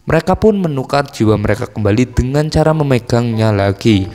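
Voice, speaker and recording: A man's voice: medium pitch, animated, fairly quick, darkish tone. A man casually narrates a film plot from a script as a recap host. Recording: long reverb tail with music underneath. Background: background music.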